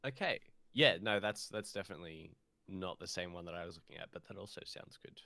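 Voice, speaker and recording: A man's voice: sits low, expressive, brisk, gravelly, bright, forceful tone, no room sound. A man in his twenties chatting informally as co-host of a live-streamed podcast.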